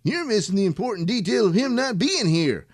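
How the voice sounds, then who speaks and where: A man's voice: high-pitched, very animated, fairly quick. Man doing a whiny, mocking young sidekick. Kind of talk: comedic audio drama acting.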